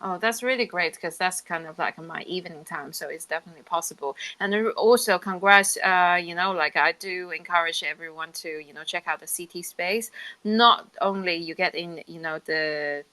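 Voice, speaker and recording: Bright-voiced woman chatting casually, lower register. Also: normal speed, poor audio. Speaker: music artist and co-host. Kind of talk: casual group audio chat.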